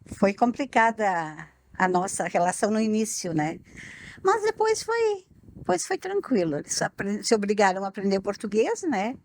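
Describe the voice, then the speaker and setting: A woman who teaches, recalling her first classes in a relaxed, conversational way. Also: mid-range voice, moderate pace. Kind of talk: interview reminiscence.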